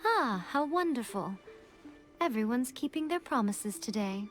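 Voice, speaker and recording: A sly fox priestess from an anime game, with a silky, somewhat high voice. She speaks in measured, elegant phrases, composed yet coy and teasing. Soft music runs underneath. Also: expressive. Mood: smug. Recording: music behind the voice.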